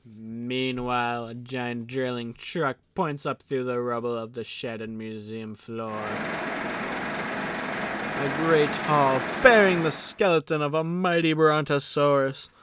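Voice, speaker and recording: A man's voice: on the high side, fairly bright tone, clear, resonant tone, dry recording. A man narrating an audio drama in a theatrical announcer style, slipping into offhand comic asides. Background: music.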